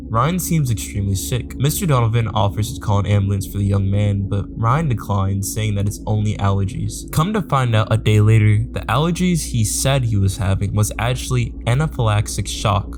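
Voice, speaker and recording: A man giving a scripted explainer narration as the narrator of a video essay. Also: fairly low pitch. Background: loud music.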